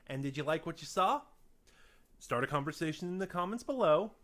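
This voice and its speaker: Man giving a halting, casual review. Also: on the high side, animated, fairly bright tone. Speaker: audio drama reviewer on a video channel. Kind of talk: casual unscripted review monologue to viewers.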